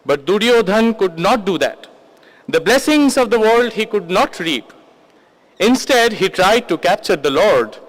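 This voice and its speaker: A man with an Indian accent, a translator introducing and reading poetry in a measured, literary manner. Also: high voice, animated, poor audio.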